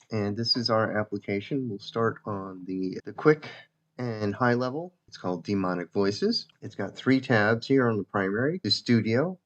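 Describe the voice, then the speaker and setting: A man giving a casual, informal software demo as a developer presenting his own application. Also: lowish voice. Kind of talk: casual screen-share demo narration. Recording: dull lo-fi mic.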